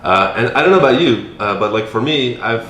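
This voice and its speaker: A man in his thirties, chatting casually as a guest, relaxed and filler-heavy, with many "like"s. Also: medium pitch, a little fast, a little hiss or hum. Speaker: guest teaching Taiwanese. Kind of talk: casual chat. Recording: echoey room with faint music.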